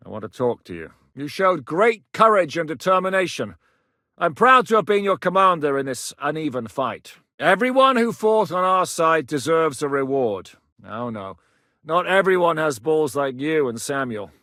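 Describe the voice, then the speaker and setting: A blunt, coarse mercenary commander. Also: male voice, on the high side, sing-song, moderate pace, sharp tone, no echo. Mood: formal, grateful. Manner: blunt, coarse-spoken, dry wit. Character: blunt battle-hardened male mercenary commander, middle-aged. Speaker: military commander. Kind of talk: video game voice acting. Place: studio booth. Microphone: close studio mic.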